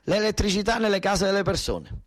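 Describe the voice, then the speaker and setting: A man's voice: high-pitched, lively intonation, a little fast. A man with a southern Italian accent performing a theatrical, colloquial monologue, emphatic and insistently repeating words as he explains. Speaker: solo stage actor and storyteller.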